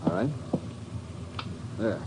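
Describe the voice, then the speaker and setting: An actor in a 1950s radio drama playing a dutiful, alert ship's officer assisting an agent. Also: male voice, extremely slow, dark tone. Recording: dull, hissy old radio recording.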